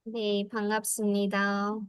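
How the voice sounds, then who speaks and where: A woman's voice: medium pitch, monotone, very fast, smooth, no room sound. A woman hosting an online cultural exchange on a video call, moderating informally.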